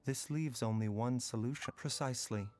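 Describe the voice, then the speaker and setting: A gentle male doctor from a video game , played by an actor. He reasons calmly and eloquently in a mid-range voice, measured and quietly resolute, with faint music behind him. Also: mid-range voice, close to an everyday voice. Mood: philosophical, calm.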